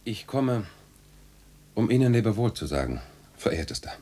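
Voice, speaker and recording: An actor playing a wry, restless male caricaturist in a radio drama, husky and theatrical, with formal old-fashioned address. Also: fairly low pitch, big pitch swings, moderate pace, dark tone. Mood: wry, resigned. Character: wry, restless caricaturist bidding his neighbour farewell. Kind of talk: literary radio drama acting.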